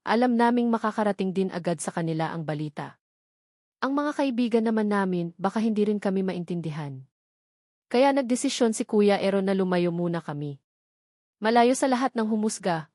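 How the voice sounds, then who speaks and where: Female narrator for romantic audio drama, medium pitch, emotional scripted first-person delivery, very dry sound. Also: a little mellow, no echo. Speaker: story narrator.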